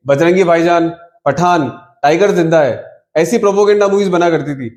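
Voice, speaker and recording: A man with a noticeably high voice delivers opinionated film news commentary. He speaks emphatically and drops English words into his talk. The sound is roomy, with clear echo around the voice. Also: a little mellow. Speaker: video commentator.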